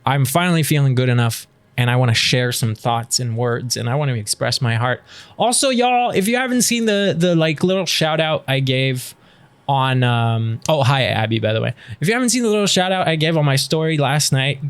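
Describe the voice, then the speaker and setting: A man's voice: on the high side, a bit bright. A man chatting casually with his live-stream viewers before starting a game, reading their messages aloud and answering them.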